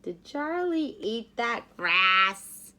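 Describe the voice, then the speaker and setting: Slow, very expressive woman reading a storybook. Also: fairly high pitch. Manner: expressive, pausing to explain and ask questions. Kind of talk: children's storybook read-aloud.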